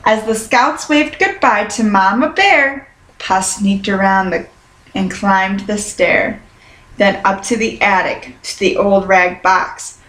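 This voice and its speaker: A woman reading a children's picture book aloud in a careful, expressive storytelling manner. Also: mid-range voice, slow pace. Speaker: storybook reader. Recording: roomy, echoing sound.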